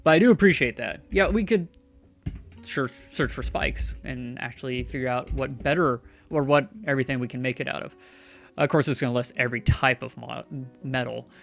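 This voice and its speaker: A man's voice: mid-range voice, moderate pace, creaky, a bit bright, faint music behind. A man streaming a video game, chatting loosely and sarcastically talking back to the characters on screen. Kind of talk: rambling live-stream commentary.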